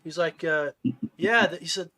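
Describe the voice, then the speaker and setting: A man's voice: on the high side, normal speed, sharp tone. A man hosting a casual fishing podcast, telling folksy, rambling stories. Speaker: podcast host.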